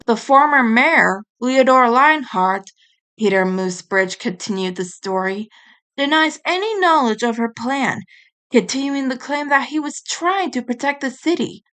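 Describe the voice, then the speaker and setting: A woman's voice: sits high, lively intonation, normal speed, a bit bright. A woman reading a story aloud as narrator, in a dramatic storytelling style. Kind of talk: dramatic fan fiction narration.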